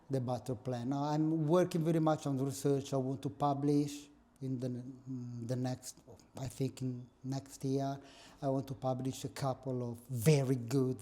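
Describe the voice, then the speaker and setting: A man's voice: mid-range voice, dark tone. A middle-aged man from northern Italy with an Italian accent, a guest professor joking dryly about himself in a casual interview, recorded in a small office room. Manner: dry joking, self-mocking.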